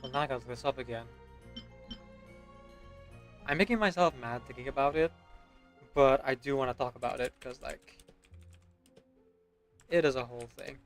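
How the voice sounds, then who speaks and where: Male game streamer, casual commentary, middling pitch, rambling explanations, frequent check-in tags, music in the background. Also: moderate pace, long pauses. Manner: rambling, often checks with "right?". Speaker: video game livestreamer.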